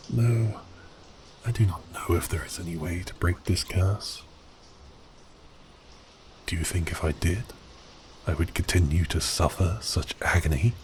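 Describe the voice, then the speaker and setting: A colossal cursed sea beast as acted by a man speaks in a deep, low register. He is grandly melancholy and grumbles with theatrical exasperation, an ASMR roleplay figure set against distinct background ambience. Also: noisy background. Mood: bitter, brooding.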